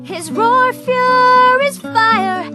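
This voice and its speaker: A woman's voice: extremely high pitch, drawn-out words, full character voice. A young woman playing a female bard, singing heroic ballads in a fantasy game. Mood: stirring, mournful. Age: young adult. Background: plucked guitar-like string accompaniment.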